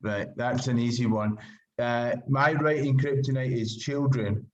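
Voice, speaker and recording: A man's voice: mid-range voice, fairly even intonation, measured pace, very noisy. A middle-aged man with a Scottish accent, answering casually as a writer being interviewed, heard through lo-fi call audio. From Glasgow, Scotland.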